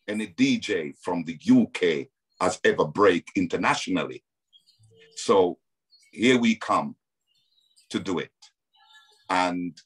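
A man's voice: deep voice, husky, rough recording. A middle-aged man with a Jamaican accent, telling his story as a guest on a video call, hesitant with many ums and restarts.

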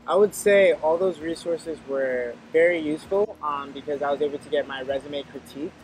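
A young man, an engineering student, answering interview questions in a relaxed way at an outdoor campus table. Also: on the high side, brisk, slight background noise, poor audio. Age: young adult.

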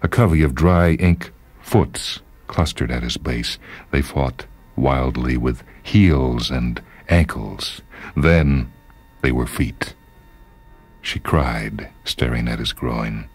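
A man's voice: extremely low pitch, slow, breathy edge. A man reading a short story as dramatic literary narration. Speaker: story reader. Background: music.

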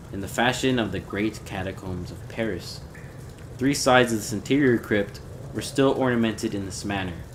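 A man reading a gothic horror story aloud in a measured, theatrical way. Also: fairly low pitch, a little hiss or hum. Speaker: audiobook reader.

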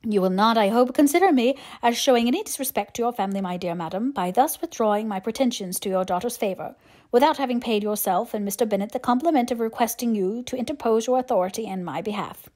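A woman's voice: a little high, fast talker, darkish tone, lightly acted. A woman voicing a pompous, stiffly formal young clergyman in an audiobook, speaking in a stately, long-winded manner. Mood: stiff displeasure. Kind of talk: audiobook character voice.

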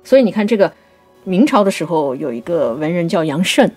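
Expressive woman discussing poetry over music. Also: lowish voice, husky. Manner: explanatory, slows down to recite quotations. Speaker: writer and co-host of a poetry programme. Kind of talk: conversational literary discussion with recited classical verse.

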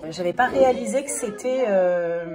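Hoarse woman vlogging in her kitchen. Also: mid-range voice, normal speed, a crowd in the background. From France. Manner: chatty, many fillers and restarts. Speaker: family vlogger. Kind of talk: casual chat to camera. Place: home kitchen, indoors. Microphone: phone camera at arm's length. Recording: echoey room with family noise.

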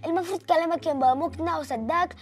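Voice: very high-pitched, quick pace, faint music behind. A performer playing a cheeky, smart-mouthed young son in a TV comedy drama. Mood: cheeky, skeptical. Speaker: actor in a TV comedy series.

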